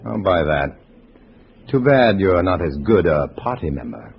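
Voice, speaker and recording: A man's voice: deep voice, sing-song, a little slow, creaky, slight background noise, exaggerated voice. A man playing a cool, wry undercover agent, narrating tersely in an old radio drama on a dull recording. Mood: cool, guarded. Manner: terse, dry, hard-boiled. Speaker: narrator and lead actor. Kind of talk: 1950s radio drama acting, scripted narration.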